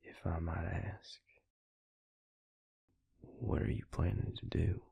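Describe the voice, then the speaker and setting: A man playing a reserved, loyal vampire in an audio drama, speaking tersely in a soft, gravelly voice. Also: low-pitched, level tone, slow pace, no room sound, exaggerated voice. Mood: subdued, cautious. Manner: terse, restrained, soft-spoken. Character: reserved, loyal male vampire, quiet and guarded. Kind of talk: audio roleplay drama acting.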